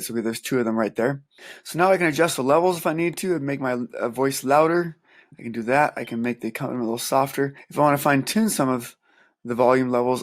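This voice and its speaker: A man teaching music, calmly talking through a software tutorial step by step with a soft voice. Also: medium pitch. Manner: calm step-by-step explaining, counting aloud. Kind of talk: screen-recorded software tutorial.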